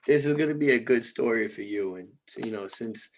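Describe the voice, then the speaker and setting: A man co-hosting a podcast, his voice hoarse and worn down to a gravelly crackle. He talks loosely, joking and swearing freely, in casual chat. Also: medium pitch, fairly quick. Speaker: podcast co-host.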